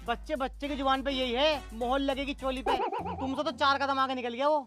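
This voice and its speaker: An actor playing a wily, flattering village election fixer who hustles for money in a comedy sketch. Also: male voice, extremely high pitch, over loud music. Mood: scheming, persuasive. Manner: flattering, fast-talking hustler. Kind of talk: rustic comedy sketch acting.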